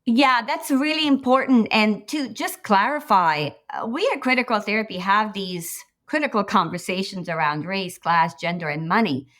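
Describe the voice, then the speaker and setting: A woman's voice: medium pitch, normal speed, few pauses, fairly bright tone. Woman therapist from romania, middle-aged, open and thoughtful, keeps saying right?. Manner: candid, reflective, often ends points with "right?". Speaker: therapist and guest. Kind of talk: podcast interview.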